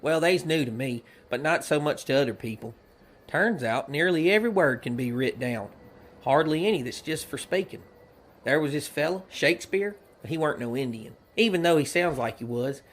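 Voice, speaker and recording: An actor playing a cheerful, simple-minded young outlaw sidekick who rambles guilelessly in a folksy rural American Western drawl, in a comedy audio drama. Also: male voice, a little high, lively intonation, moderate pace. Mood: proud, rambling.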